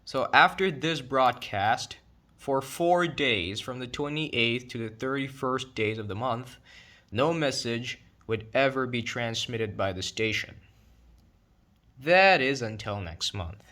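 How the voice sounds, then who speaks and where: A man's voice: mid-range voice, expressive, slow, pushed and bright. A man narrating an explanatory online video in a documentary style, with casual, conversational asides.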